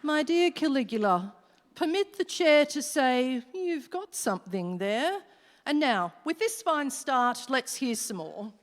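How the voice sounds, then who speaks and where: An actress as a commanding female devil presiding over Hades in a radio play. She speaks formal rhymed lines in a fairly high voice, ringing around a large hall. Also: expressive, slight background noise. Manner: formal, measured rhyming delivery. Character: female devil chairing a meeting in Hades, imperious. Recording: echoey hall, live stage.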